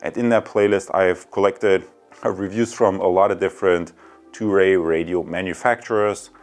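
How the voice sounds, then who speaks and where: A man with a fairly low voice hosts a casual product review. He explains things step by step in an enthusiastic way, speaking straight to his viewers. Faint music plays behind his voice. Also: steady tone, moderate pace. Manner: enthusiastic, addresses viewers directly.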